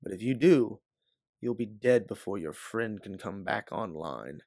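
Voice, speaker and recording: A man's voice: fairly low pitch, animated, unhurried, a little mellow, dry recording, clean studio sound. A man voicing a masked, capable male rescuer, coolly matter-of-fact, in an audiobook story. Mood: cool, urgent.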